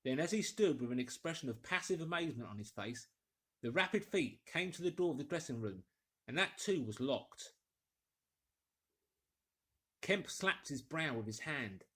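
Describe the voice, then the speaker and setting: A man's voice: a little high, animated, normal speed, pauses often, pushed and bright. A man reading a story aloud as a scripted, dramatic audiobook narrator.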